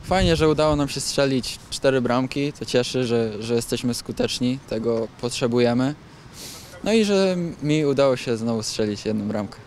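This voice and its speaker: Young man, footballer, post-match interview, hesitant, outdoor night pitch, handheld mic, faint ambience. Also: normal speed, darkish tone, slight background noise. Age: young adult. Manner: hesitant, with restarts and fillers.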